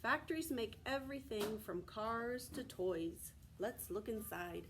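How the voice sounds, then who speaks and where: A woman's voice: a little high, a little slow. American woman reading to preschoolers, noisy recording. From Texas, United States. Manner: simple child-directed phrasing, frequent questions. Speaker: preschool teacher. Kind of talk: read-aloud to young children with explanatory asides and questions.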